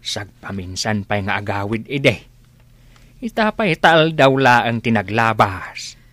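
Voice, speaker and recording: An actor playing a patient man gently reassuring someone in a Philippine radio drama. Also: lowish voice, sing-song, takes it slowly, heavily acted. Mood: consoling. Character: patient, consoling man comforting a worried mother. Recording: old radio drama recording with music underneath. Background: background music.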